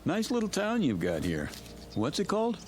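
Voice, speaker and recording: A man's voice: sits high, wildly swooping pitch, normal speed, over music. An actor playing a wry, conversational motorist on the road with his dog in a radio drama. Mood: friendly, dry.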